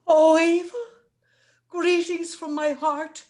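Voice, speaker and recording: A woman's voice: very high voice, little pitch movement, slow, darkish tone. A woman playing an indignant, bawdy young maiden in a dramatic recital of comic verse, declaiming rhythmically. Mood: imploring, grand. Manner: declamatory, theatrical, rhythmic delivery. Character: lovelorn, indignant, bawdy young Irish maiden pleading in court.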